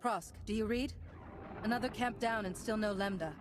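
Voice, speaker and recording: A resolute woman warrior-monk from a sci-fi game, played by an actress. Her mid-range voice is composed and earnest, with quiet command. Faint noise sits behind it. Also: mid-range voice, normal speed, natural voice. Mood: businesslike. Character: resolute female Jedi knight, stern, principled and composed. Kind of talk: video game voice acting.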